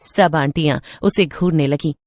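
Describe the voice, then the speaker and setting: A woman reading scripted narration for an animated horror story. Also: low voice, normal speed, no room sound, studio quality.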